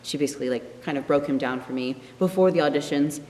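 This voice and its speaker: A man's voice: on the high side, quick pace, a little mellow. A man in his late teens, a student actor, talking casually in an interview in a large auditorium. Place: large empty theater auditorium. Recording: echoey hall with clear background hum.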